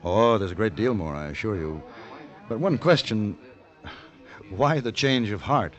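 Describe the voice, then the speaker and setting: A man playing a suave, self-assured gentleman gunfighter in a 1950s radio western, with a smooth, courtly, dry delivery. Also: lowish voice, expressive, measured pace, dull audio. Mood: courtly, flirtatious. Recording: vintage radio drama recording.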